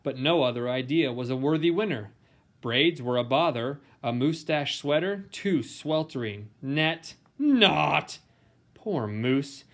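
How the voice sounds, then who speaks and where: A man reading a children's picture book aloud in a playful, theatrical way with dramatic emphasis and pauses. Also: fairly high pitch. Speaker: storybook reader.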